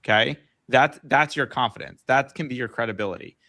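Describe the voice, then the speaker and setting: Hombre directo con consejos prácticos. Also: male voice, mid-range voice, fairly quick, sharp tone. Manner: direct, practical, checks in with "okay?". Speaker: co-coach. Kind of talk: live coaching advice. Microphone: video call.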